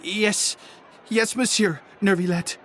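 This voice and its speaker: A man's voice: high-pitched, fairly even intonation, slow, fairly bright tone, slight background noise. An actor playing a nervous, apologetic male underling in a video game, hesitant and stammering. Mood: nervous, guilty.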